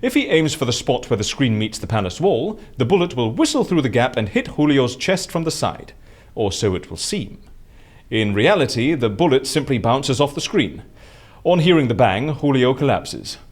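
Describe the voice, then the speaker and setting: An actor playing an excitable, easily exasperated man who masterminds schemes, with an emphatic, theatrical delivery in an audio drama. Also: medium pitch, normal speed. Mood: scheming, brisk. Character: excitable, exasperated male scheme-master plotting a staged assassination.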